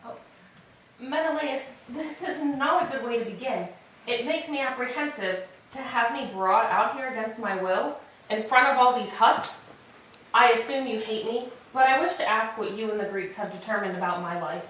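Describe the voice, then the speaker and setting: Highly reverberant distant recording, woman acting captive beauty, slightly high voice, poised, defiant, formal, staged classical tragedy. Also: a bit bright, natural voice. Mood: apprehensive. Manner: measured, formal, argumentative. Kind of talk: staged reading of Greek tragedy. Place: church, live staged reading. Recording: echoey church, distant mic, faint noise.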